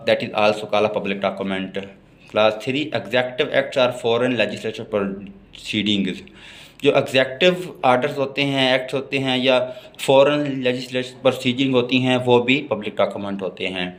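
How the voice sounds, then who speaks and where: Pakistani man lecturing, medium pitch. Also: a bit bright, slight background noise. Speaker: law lecturer. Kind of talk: explanatory lecture mixing Urdu-Hindi and English.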